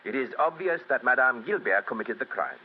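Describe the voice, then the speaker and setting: A man's voice: mid-range voice, expressive, brisk, a little hiss or hum, close to an everyday voice. A man playing a stern police inspector, blunt and emphatic in 1940s radio style. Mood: impatient, cynical. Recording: tinny, narrow old radio recording.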